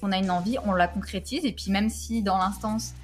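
A woman's voice: mid-range voice, steady tone, fairly quick, over music. A woman in her thirties from Algeria and Paris, chatting as an interview guest in a hesitant, meandering way. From Algeria and Paris, France. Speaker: podcast guest, designer and creator. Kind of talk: casual interview chat.

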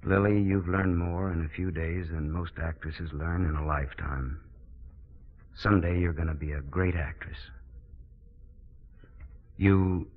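A muffled 1940s radio recording of a regretful, gentle American theater director. His voice is very deep and a little rough. He speaks earnestly and haltingly, searching for words. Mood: reassuring. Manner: halting, hesitant phrasing. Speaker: actor.

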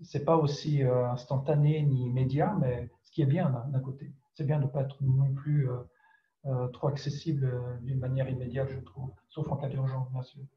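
A man's voice: medium pitch, flat delivery, normal speed. A man from France, a writer answering interview questions reflectively and hesitantly, searching for his words. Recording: dull, roomy, echoing sound.

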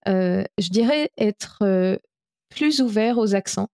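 A woman's voice: mid-range voice, expressive, slow, dark tone. A woman from France, an interpreter, answering interview questions earnestly with many hesitations and restarts. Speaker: interpreter, guest.

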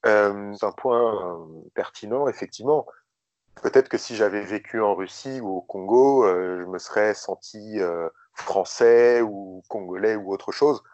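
A man guesting on a podcast, speaking in a reflective, thoughtful way with frequent pauses and fillers. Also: on the low side, darkish tone, dry recording, dull audio. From France. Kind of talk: reflective interview talk.

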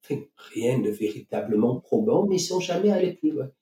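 A man from France gives conversational, explanatory answers as an interview guest, searching for his words. His voice is very dark and relaxed at an average pitch. It sounds echoey and a bit away from the microphone. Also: brisk. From France, Marseille. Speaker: interview guest, company co-founder. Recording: echoey room, distant from mic.

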